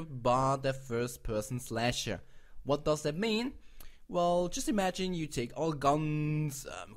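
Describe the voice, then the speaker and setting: A man from Switzerland with a Swiss accent, speaking English as a non-native host in a casual, rambling, playful commentary, often correcting himself. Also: on the high side. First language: a language other than English. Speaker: host of a video channel. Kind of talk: casual commentary on game crowdfunding projects.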